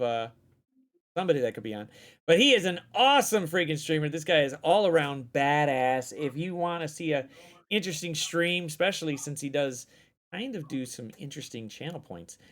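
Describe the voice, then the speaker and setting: Male stream host, fairly high voice, excitable, profane, rambling. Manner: excitable, swears freely, repeats himself. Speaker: streamer hosting a guest chat. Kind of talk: loose casual chat. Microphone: desk microphone.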